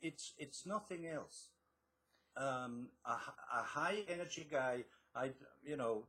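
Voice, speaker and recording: A man's voice: mid-range voice, a bit bright. A middle-aged man from Switzerland with a Swiss accent, a guest author explaining his methods in an interview, with frequent restarts. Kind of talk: interview answers.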